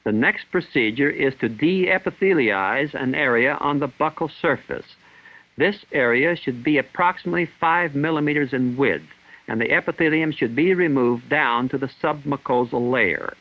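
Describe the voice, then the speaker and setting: A man reading scripted instructional narration in a formal, measured, clinical manner, in the style of a 1960s training film. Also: mid-range voice. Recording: dull 1960s film soundtrack.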